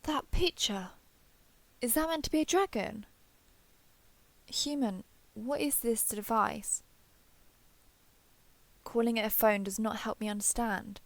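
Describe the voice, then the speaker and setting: A woman playing an ancient, proud female dragon in human form in an audio roleplay, speaking in formal, measured, archaic phrasing. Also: fairly high pitch, dry recording. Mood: impatient, baffled. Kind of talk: scripted audio roleplay acting.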